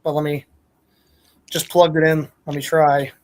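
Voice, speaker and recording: A woman's voice: deep voice, flat delivery, measured pace. A woman hosting a casual podcast, chatting informally and a little hesitantly, and reading fan fiction aloud.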